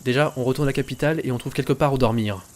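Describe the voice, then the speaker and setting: A man's voice: mid-range voice, very fast, close to an everyday voice. A man playing a cautious, skeptical adventurer and researcher in a fantasy audio drama, explanatory in manner. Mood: purposeful. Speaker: voice actor in an amateur audio fiction.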